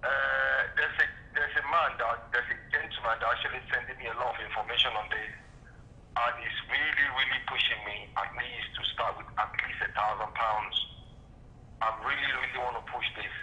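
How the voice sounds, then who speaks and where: A man's voice: medium pitch, fairly even intonation, brisk, sharp tone. A man calling in to a TV program, polite and hesitant, with many fillers. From Manchester area. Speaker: caller. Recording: thin phone line into an echoey studio.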